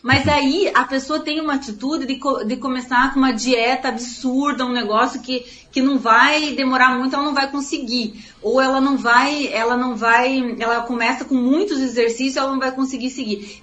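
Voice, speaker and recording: Woman, author in an interview, earnest motivational talk, bit high voice, roomy echo. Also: a bit bright. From Paraná, Brazil. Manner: earnest, motivational, repeats phrases. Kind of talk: interview answers. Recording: echoey room.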